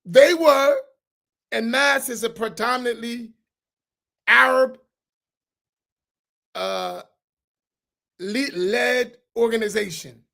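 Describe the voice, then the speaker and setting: A man's voice: very high-pitched, slow pace, creaky, long pauses, bright, forceful tone. A middle-aged man from the United States, an imam speaking on a roundtable panel in an emphatic, preacherly way, often checking in with the audience with rhetorical questions.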